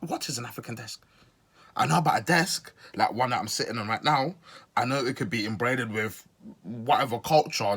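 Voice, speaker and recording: A man's voice: fairly low pitch, fairly bright tone, breathy edge. A man from Zimbabwe giving casual reaction commentary as a podcast host, incredulous and questioning, repeating his points for emphasis.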